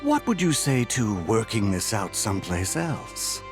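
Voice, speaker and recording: Shifty dealer with swooping delivery. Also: male voice, fairly low pitch, wildly swooping pitch, unhurried, soft background music, full character voice. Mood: sly, coaxing. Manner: sly, coaxing. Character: shifty, wheedling underworld dealer. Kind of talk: video game voice acting.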